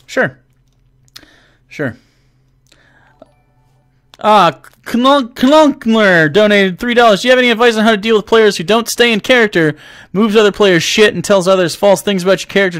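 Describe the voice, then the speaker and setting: A young man hosts a live stream in a loose, rambling way, reading viewer messages aloud and answering them. His voice sits a bit higher than most men's. He swears freely and jokes between answers. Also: fairly bright tone. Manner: profane, joking, rambling; reads donations and chat messages aloud. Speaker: game master answering viewer questions. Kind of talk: casual live-stream chat.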